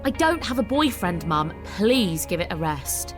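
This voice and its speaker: A woman's voice: on the low side, sing-song, measured pace, music in the background. A woman narrator voicing an impatient, defensive young college woman in an audiobook. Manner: defensive, dismissive.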